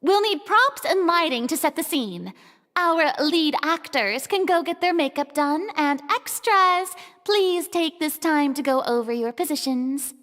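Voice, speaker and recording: A woman's voice: high voice, expressive, measured pace, a bit bright, long reverb tail. A woman playing a theatrical, self-assured young female film director in a video game. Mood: commanding. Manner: theatrical, commanding.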